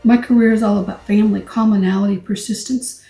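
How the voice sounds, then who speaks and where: A woman's voice: medium pitch, fairly even intonation, fast, creaky. A woman in her sixties from El Paso, Texas, giving an earnest, reflective acceptance speech for an award. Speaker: award recipient. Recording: echoey room, distant mic, soft music.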